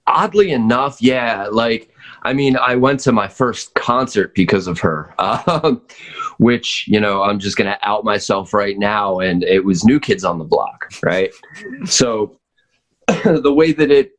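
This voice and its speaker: A man's voice: fairly low pitch, measured pace. An American man in his forties chatting casually as a podcast guest, hesitant and easygoing as he reminisces. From North Wales, Pennsylvania, United States. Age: 40s. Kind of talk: casual chat.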